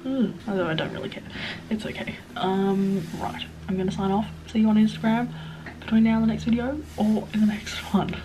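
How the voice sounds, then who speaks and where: A young Australian woman in her twenties chats to camera with a blocked-up, nasal voice from a cold. She rambles in a self-deprecating way and sometimes drops to a hushed whisper. A faint hiss sits under the recording. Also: mid-range voice, steady tone, a little fast. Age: 20s. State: sniffly, stuffed-up nose. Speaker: vlogger. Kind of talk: casual Q&A chat to camera.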